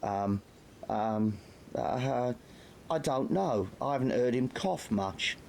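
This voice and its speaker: A man's voice: low voice, wildly swooping pitch, a little slow, a little hiss or hum, full character voice. A man voicing a scruffy young petty thief who whines and protests indignantly in an audiobook. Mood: nervous. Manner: whining, indignant, tearful protests. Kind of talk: audiobook character voice.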